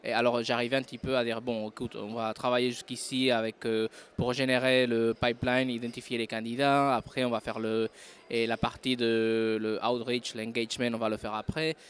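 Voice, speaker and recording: A man's voice: mid-range voice, level tone, brisk, smooth. A man from Spain with a Spanish accent, a non-native speaker giving a casual interview, hesitant and full of fillers while he searches for words. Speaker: interview guest, recruiter.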